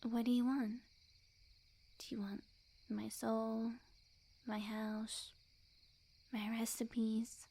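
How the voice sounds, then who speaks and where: A woman playing a sweet, clingy, lovesick young witch in an ASMR roleplay, speaking softly and breathily in an intimate, coy, earnest way. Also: fairly high pitch, little pitch movement, drawn-out words, pauses often, exaggerated voice. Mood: resigned. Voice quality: soft, breathy, often near-whisper.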